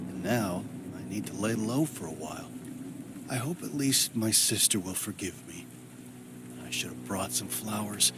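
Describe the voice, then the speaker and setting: A brooding man muses to himself in a story-driven game. His voice sits fairly low and stays subdued, plain and weary. Noticeable noise runs underneath. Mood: weary, regretful. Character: brooding, world-weary man returning to his childhood home. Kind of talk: scripted game narration, inner monologue. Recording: clear background noise under the voice.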